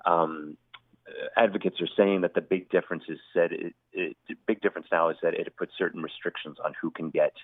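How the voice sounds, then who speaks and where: Deep-voiced man on a tinny phone line. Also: steady tone, no echo. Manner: halting, many restarts and fillers. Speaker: journalist guest. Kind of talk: phone-in radio interview.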